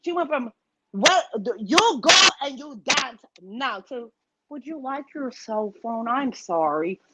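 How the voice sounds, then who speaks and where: Animated high-voiced woman telling a story. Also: a little slow, muffled recording, heavy background noise. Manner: animated, profane, acts out quoted voices. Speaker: guest. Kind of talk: casual storytelling chat.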